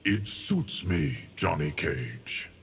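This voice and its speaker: A man playing an ancient, solemn, stoic keeper of time in a video game, speaking in measured, formal, grave lines. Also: a bass voice, lively intonation, gravelly, a bit bright, soft background music, exaggerated voice. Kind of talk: video game fight intro dialogue.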